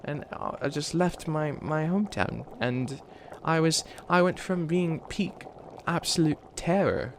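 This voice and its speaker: A man's voice: a little high, a little slow, few pauses, slight background noise. A man voicing an ancient bunny witch, a failed villain who is flustered and kindly, rambling and stammering through a comedic ASMR roleplay. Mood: wistful, exasperated. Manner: rambling, stammering, apologetic, trails off. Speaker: mentor to a new apprentice.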